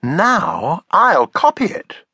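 A man putting on an excited little cave girl. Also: high voice, very slow, breathy edge, no echo, clean studio sound, voice pushed up, exaggerated voice. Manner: chirpy, breathless with excitement. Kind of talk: storybook reading.

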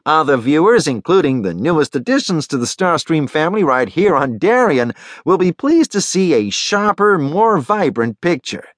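A polished broadcast reporter from a science fiction audiobook, acted by a male narrator. The delivery is smooth and promotional, raised in pitch and very animated. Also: normal speed, dry recording, studio quality. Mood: upbeat, presenting. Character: polished on-air news reporter addressing viewers.

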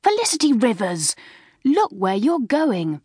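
A woman's voice: a little high, huge pitch swings, unhurried, darkish tone, slightly rough, no room sound, clean studio sound, pitched above the performer's own voice, exaggerated voice. A woman voicing a wrathful, imperious older schoolgirl, scolding.

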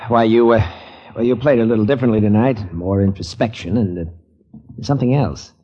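A man's voice: on the low side, brisk, husky, muffled recording. An actor playing a polite, guarded middle-aged male theater director who speaks with hesitation, in 1940s radio style.

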